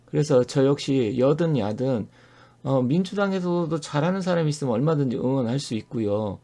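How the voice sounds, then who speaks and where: A middle-aged man hosting his own fortune-telling channel, giving an earnest, opinionated monologue full of pauses and fillers. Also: mid-range voice, expressive, mellow. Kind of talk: solo monologue to camera.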